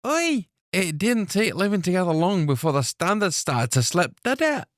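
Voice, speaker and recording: Male reader doing a high-pitched teasing young woman, dry close mic. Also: sing-song, dry recording. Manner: teasing, drawn-out smirking lines.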